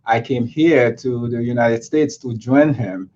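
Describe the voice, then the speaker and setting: A cardiologist originally from Haiti, speaking in an interview and pausing often with filler sounds. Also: male voice, mid-range voice, a little mellow, muffled recording. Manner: hesitant, many filler sounds. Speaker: cardiologist guest. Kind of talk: interview conversation.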